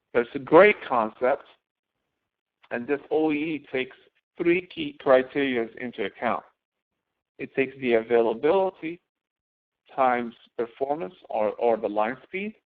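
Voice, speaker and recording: A man instructor giving an informal, explanatory lecture, emphatically repeating key phrases for stress. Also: medium pitch. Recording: thin phone-like narrowband audio.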